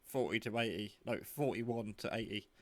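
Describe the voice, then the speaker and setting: A man's voice: lowish voice, normal speed, no room sound. A man giving a casual, rambling, informal show-and-tell of a piece of hobby gear. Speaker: radio hobbyist showing a handset.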